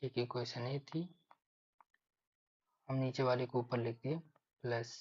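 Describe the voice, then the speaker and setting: A man's voice: mid-range voice, level tone, measured pace, a little mellow, husky. A man teaching maths step by step for exam preparation, thinking aloud and repeating key figures. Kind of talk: step-by-step lesson explanation. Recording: dull lo-fi microphone sound.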